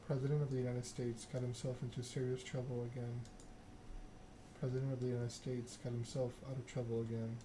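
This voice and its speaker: Guy doing soft asmr game narration, pretty flat tone, careful reading, noisy ambience behind him. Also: medium pitch, a little fast. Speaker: streamer reading a story game aloud. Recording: clearly noisy background ambience.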